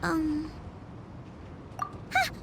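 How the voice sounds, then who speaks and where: A woman voicing a small floating fairy companion in a game cutscene, childlike and chirpy with a squeaky voice. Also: huge pitch swings, drawn-out words, creaky, a bit bright, slight background noise, exaggerated voice. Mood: chirpy, puzzled. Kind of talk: video game cutscene acting.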